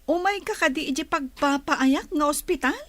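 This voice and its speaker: A woman playing an affectionate, inquisitive godmother in a radio drama, with a coaxing, drawn-out delivery. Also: high-pitched, no room sound, close to an everyday voice.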